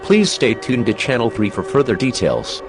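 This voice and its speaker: Guy playing an emergency alert announcer, official and urgent, lively pitch swings, music behind. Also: moderate pace. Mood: stern warning. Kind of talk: scripted emergency broadcast.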